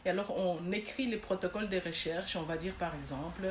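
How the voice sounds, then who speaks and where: A woman from Congo, raised in Belgium with Tshiluba at home, gives conversational interview answers. Her voice sits a little low, and the recording is echoey with faint background noise. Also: a little fast. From born in Congo, grew up in Belgium. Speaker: podcast guest, clinical research manager.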